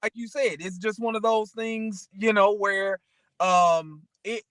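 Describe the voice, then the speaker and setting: A man's voice: high-pitched, steady tone, slow, fairly bright tone, dry recording, clean studio sound. A man chatting casually and motivationally as a talk show co-host, rambling through anecdotes and often checking in with the listener.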